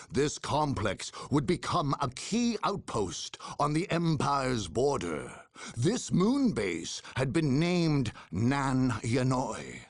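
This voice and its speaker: War story narrator, husky, deliberate, dramatic, lively pitch swings, dry close recording, video game. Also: male voice, no room sound, full character voice.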